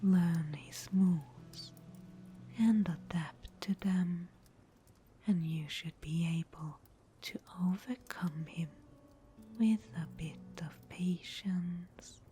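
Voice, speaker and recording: A woman playing a mysterious, protective fantasy guide in a whispered ASMR roleplay, breathy, measured and solemn. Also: on the low side, dark tone. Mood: calm, instructive.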